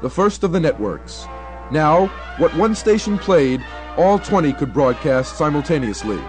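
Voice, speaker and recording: A man's voice: on the high side, big pitch swings, unhurried, a little mellow, faint music behind. A young American man reading scripted documentary narration. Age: young adult.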